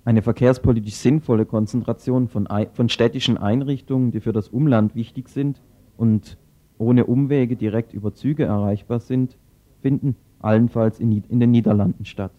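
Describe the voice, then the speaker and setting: A man's voice: medium pitch, steady tone, normal speed, warm tone. A man reading a scripted news report as a radio reporter. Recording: slightly dull lo-fi radio recording.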